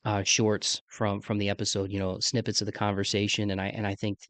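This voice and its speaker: Low-voiced congested man, fairly even delivery. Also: fairly quick, no room sound. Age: mid-30s. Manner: laid-back slangy talk, says "man" often. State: congested from sinus trouble, voice deeper than usual. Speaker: podcast host. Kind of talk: casual solo podcast intro, chatty.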